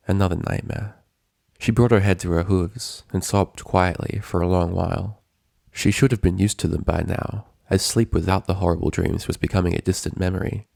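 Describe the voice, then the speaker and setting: A man reading a scripted story as narrator, in a measured, dramatic storytelling manner. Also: deep voice, creaky, a little mellow, no room sound, clean studio sound.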